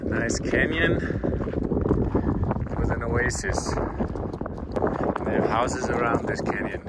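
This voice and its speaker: A man's voice: mid-range voice, slow pace, very noisy. A man making casual remarks as a travel vlogger. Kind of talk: casual vlog chat. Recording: loud music behind.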